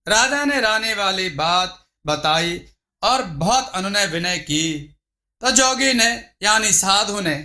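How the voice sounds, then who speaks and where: Guy telling a folk story, really bright sharp voice, slow and high pitched. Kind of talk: scripted narration of a Hindi moral folk tale.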